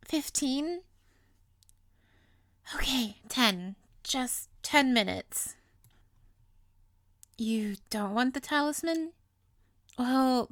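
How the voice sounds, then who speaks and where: A high-pitched, sweet young witch, acted by a woman speaks slowly in an intimate audio roleplay. She is playful and possessively adoring, drawing out her words with coy, theatrical affection. The sound is dry and close. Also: no room sound, full character voice. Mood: pleading. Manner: coy, doting, theatrical, drawn-out.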